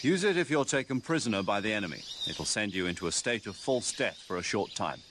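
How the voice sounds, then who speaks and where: A man acting a low-voiced, measured military commander. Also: expressive, lightly acted. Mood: stern briefing. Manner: measured, authoritative briefing. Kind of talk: video game voice acting. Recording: game audio with loud insect noise.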